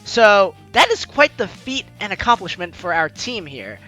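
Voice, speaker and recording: Bright, chatty young male gamer. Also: high-pitched, big pitch swings, normal speed, faint music behind, poor audio. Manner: chatty, reads lines with asides. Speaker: gameplay host reading the story aloud. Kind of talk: let's play narration and commentary.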